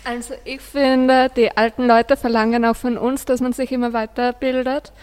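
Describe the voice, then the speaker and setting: A woman's voice: on the high side, level tone, normal speed, a little mellow, clean tone. A female teenage student, giving her view in a radio discussion. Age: teens. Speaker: student radio presenter and panelist. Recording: long hall-like reverb.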